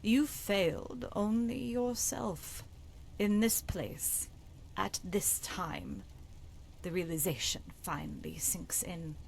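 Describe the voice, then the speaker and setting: A woman voicing the knowing spirit of a dead sister in a game mod, measured and portentous, with hushed phrase endings. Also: medium pitch, creaky. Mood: cold, accusing. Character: long-dead sister's spirit, solemn, knowing, finally forgiving. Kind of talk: dramatic video game mod voice acting.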